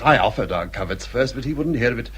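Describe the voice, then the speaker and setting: An English actor playing an easygoing, amiable young country squire and husband in a period radio drama, bluff and mild. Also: male voice, medium pitch, lively intonation, quick pace, fairly bright tone, slight background noise, natural voice. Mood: mildly amused, easygoing. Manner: bluff, mild, good-humoured.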